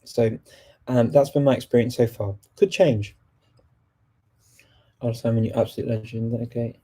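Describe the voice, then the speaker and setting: Host voice: native English-speaking man, very dark and mellow tone, flat delivery, halting as he hunts for words. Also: medium pitch, breathy edge. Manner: halting, often pausing to search for words. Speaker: host of a discussion on multilingual events. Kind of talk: casual live group chat.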